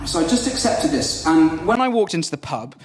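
A man's voice: on the high side, very animated, brisk, very echoey, far from the mic, a little hiss or hum. A young Welsh-born man whose first language is Welsh, telling a comic story to an audience in a chatty, self-deprecating way and calling his listeners folks. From Wales. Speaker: storyteller on stage.

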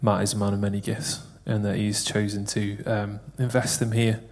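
A man's voice: on the low side, level tone, moderate pace, a little mellow. A man praying aloud for someone in church, earnest, repeating phrases as he goes. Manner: earnest, repetitive prayer phrasing. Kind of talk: spoken prayer.

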